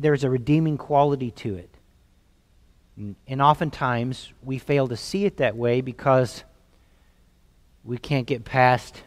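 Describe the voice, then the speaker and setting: A man's voice: fairly low pitch, big-room sound. A man in his forties with a Southern US accent preaching a sermon from a church stage into a headset mic, earnest and emphatic, often repeating words as he builds a point. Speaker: pastor. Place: church sanctuary stage, seated behind a music stand.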